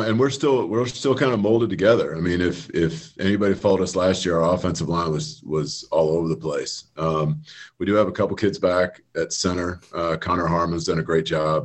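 Brief: deep, husky man, football coach on a video call, halting and rambly. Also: fairly even intonation. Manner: rambling, halting, many fillers and restarts. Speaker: high school football coach, guest. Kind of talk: casual radio interview.

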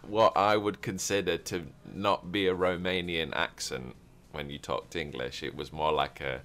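A man's voice: sits low, steady tone, moderate pace, fairly bright tone, slight background noise. A man hosting a roleplay podcast, chatting casually and rambling with many fillers and restarts. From Europe. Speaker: podcast host.

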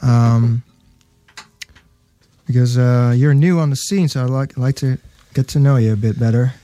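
Mellow, hesitant male interview host. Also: medium pitch, steady tone, measured pace. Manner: hesitant, many ums and restarts. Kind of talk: casual interview.

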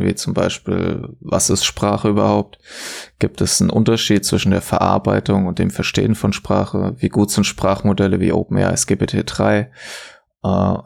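Very deep, flat, gravelly co-host man. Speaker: podcast co-host. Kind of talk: reading episode overview.

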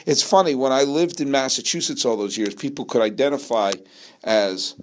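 A man's voice: mid-range voice, moderate pace, a little hiss or hum. An American man from New England giving an opinionated solo sports monologue, speaking emphatically and repeating phrases for effect. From New England, United States. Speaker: sports podcast host.

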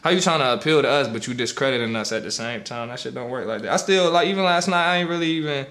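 A man's voice: mid-range voice, quick pace, fairly bright tone. A man from Connecticut, raised in Charlotte, talking loosely and emphatically as a podcast co-host. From born in Connecticut, raised in Charlotte. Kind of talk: casual chat.

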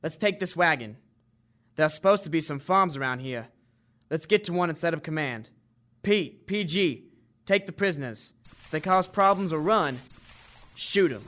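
A man playing a commanding American army officer in a World War II radio drama, giving terse, gruff orders. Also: on the high side, animated, normal speed, long pauses, fairly bright tone.

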